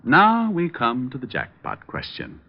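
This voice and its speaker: A man's voice: mid-range voice, wildly swooping pitch, a little slow, gravelly, exaggerated voice. A man acting a wisecracking, unflappable private detective in an old-time radio crime drama, with wry, flippant delivery. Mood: tough, mocking. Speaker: lead actor and narrator. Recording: dull vintage radio recording.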